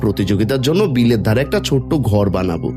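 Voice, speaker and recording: A man's voice: on the low side, lively intonation, very fast, music in the background, lightly acted. An actor playing a humble, poor, earnest village father in a cartoon folktale. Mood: defensive. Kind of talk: Bengali animated folktale dubbing.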